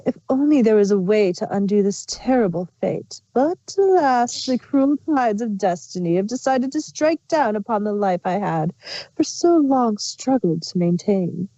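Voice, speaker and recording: A woman's voice: medium pitch, lively intonation, normal speed, dark tone, lightly acted. A woman voicing a melodramatic, self-pitying bug-like changeling queen in a theatrical, mock-tragic manner for a fan fiction story. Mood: theatrical lament. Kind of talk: acted fan fiction reading. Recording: roomy, slightly dull microphone sound.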